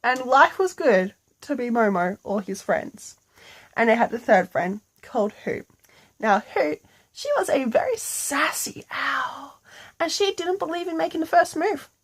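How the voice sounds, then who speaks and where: A woman's voice: sits high, measured pace. A woman telling an improvised bedtime story in a casual, playful, slangy way.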